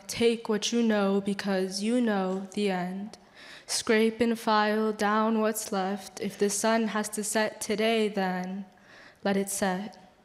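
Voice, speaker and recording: A young woman gives a poetry reading with very slow pacing and a mid-range voice. The room is a big hall with long reverb. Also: medium pitch. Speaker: student poet. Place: large hall at a school event.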